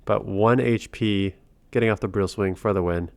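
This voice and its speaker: A man's voice: lowish voice, moderate pace, creaky, no room sound. A man with a cold, nasal and congested, giving casual commentary on his own game match, thinking aloud and breaking off mid-sentence. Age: adult father. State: sick with a cold. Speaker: gaming channel host.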